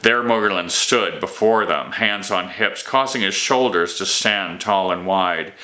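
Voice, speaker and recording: A man's voice: low-pitched, measured pace, sharp tone. A man, an author, reading his fantasy novel aloud as dramatic scripted narration.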